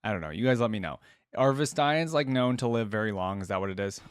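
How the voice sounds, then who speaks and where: A man's voice: lowish voice, animated, fast talker, smooth, no echo. A man giving casual reaction commentary, musing aloud and dropping short interjections. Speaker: reaction video host. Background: faint music.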